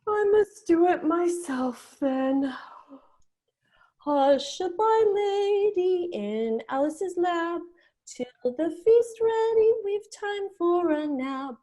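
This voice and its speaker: A condescending storybook queen, acted by a woman, high in pitch and mellow in tone. She speaks slowly and primly, as if patronizing everyone around her in a staged reading. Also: expressive, exaggerated voice. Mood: drowsy, lulling. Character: bossy, condescending Red Queen growing drowsy. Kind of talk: dramatic reading of a classic children's story.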